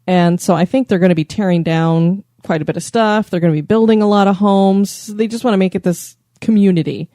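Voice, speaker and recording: A woman hosting a podcast in casual chat, chatty and quick to laugh. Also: medium pitch, warm tone, no room sound, clean studio sound. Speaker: podcast host.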